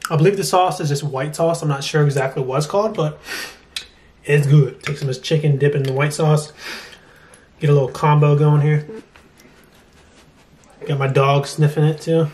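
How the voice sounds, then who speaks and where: A man in his twenties talks casually to the camera as a food vlogger. His voice sits a little high for a man. The handheld recording has clear background noise. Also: steady tone, normal speed, pauses often, darkish tone. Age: 20s. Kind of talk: casual chat and food review. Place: restaurant, home kitchen, car, bedroom. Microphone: camera at arm's length. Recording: noisy handheld camera audio.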